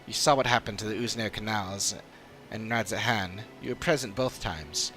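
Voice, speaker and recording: A man voicing an earnest, analytical adventuring companion in a fantasy game, speaking in a measured, formal way. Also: on the low side, over music, lightly acted. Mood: thoughtful. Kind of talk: dramatic reading of game dialogue.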